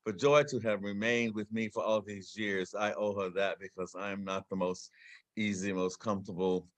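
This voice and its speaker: A man's voice: on the low side, fairly even intonation, moderate pace. A man speaking as a guest in an online conversation, with wry, self-deprecating humor.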